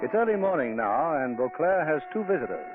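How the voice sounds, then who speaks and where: A man's voice: on the high side, big pitch swings, brisk. A man narrating a 1940s radio drama in a scripted style. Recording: tinny 1940s radio recording. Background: music.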